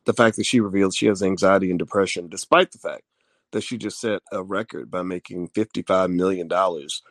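Gravelly-voiced man hosting a podcast. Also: sits low, fairly quick, a bit bright, no echo. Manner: joking, reads viewer comments aloud. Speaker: podcast co-host. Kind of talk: casual live-stream chat.

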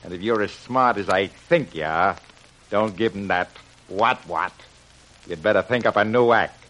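A man acts a tough American gangster boss, low in pitch and sardonic. He barks slangy orders and wisecracks in the manner of old radio drama. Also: moderate pace, fairly bright tone, natural voice, rough recording. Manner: sardonic, bossy. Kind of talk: old-time radio adventure acting.

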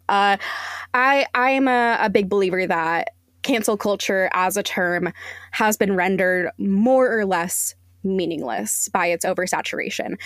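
A woman's voice: mid-range voice, no echo. Blunt, emphatic woman, dry close sound. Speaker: guest podcast critic and journalist. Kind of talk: casual podcast interview, opinionated.

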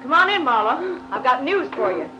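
A woman acting a sharp young companion to a detective in a 1940s radio drama. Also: high-pitched, sing-song, normal speed. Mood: cool. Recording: muffled, noisy old radio recording.

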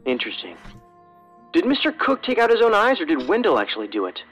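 A man playing an analytical, bluntly perceptive federal agent in an audio drama, deadpan, musing and pedantic. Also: high voice, fast talker, breathy edge, muffled recording, soft background music, heavily acted.

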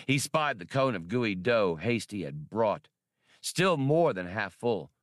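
Slow, expressive male audiobook narrator. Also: on the low side, dry recording, clean studio sound. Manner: deliberate, expressive. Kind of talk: dramatic scripted narration of an adventure story.

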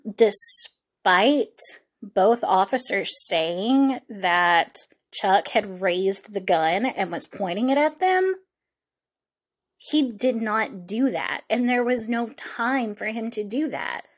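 Slow-paced woman telling true crime conversationally. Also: medium pitch, expressive. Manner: informal, reflective, halting phrasing. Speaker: true crime podcast host.

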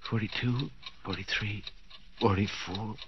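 A man's voice: lowish voice, steady tone, a little slow, natural voice. An actor playing a bound, desperate man coming apart in a cellar, stammering and pleading in overwrought 1940s radio suspense style. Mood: anxious, muttering. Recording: dull, noisy 1940s radio recording.

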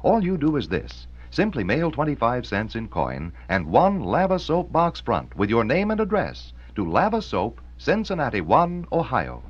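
An American man announces a vintage radio commercial in a mid-pitched, slightly husky voice. His selling is punchy and swings widely in pitch, on a dull old recording. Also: wildly swooping pitch, normal speed, hardly any pauses. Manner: punchy, emphatic sales delivery. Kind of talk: 1940s radio advertisement.

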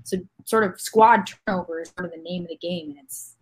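A woman's voice: on the low side, brisk, low-quality recording. Analytical woman on a discussion podcast. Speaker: podcast panelist. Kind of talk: casual soccer discussion.

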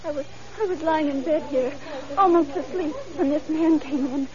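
Shaken woman patient played by an actress, extremely high pitch, stammering, old radio play, muffled recording. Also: a crowd in the background, natural voice. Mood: shaken, frightened. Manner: halting, stammering, repeats words. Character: shaken female hospital patient recounting an attack. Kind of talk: 1930s radio acting. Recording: dull old radio recording, murmuring background.

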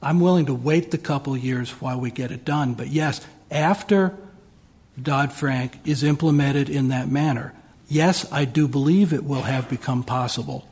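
A man's voice: medium pitch, normal speed, darkish tone, husky. A man speaking as a debate panelist, probing the other side's proposal with pointed questions. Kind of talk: debate argument.

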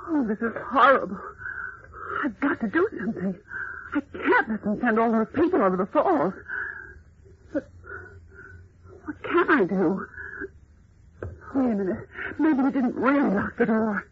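Brave captive heroine, earnest n dramatic, old radio serial, muffled with faint hiss. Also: female voice, fairly high pitch, lively intonation, a little slow, long pauses. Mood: anxious. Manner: earnest, dramatic, emphatic diction. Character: brave, indignant young woman held captive.

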